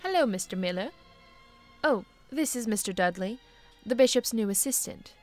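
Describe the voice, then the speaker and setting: A woman playing a kindly, long-suffering bishop's wife in an audio drama, gentle and polite. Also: medium pitch, animated, moderate pace, faint music behind, close to an everyday voice. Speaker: actor in a drama.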